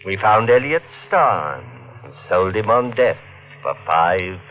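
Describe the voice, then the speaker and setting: A man's voice: on the low side, big pitch swings, slow pace. An actor playing a cold, scheming husband who narrates his own crime, speaking in a hard, curt, sardonic way in a 1950s radio drama. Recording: dull vintage 1950s radio recording.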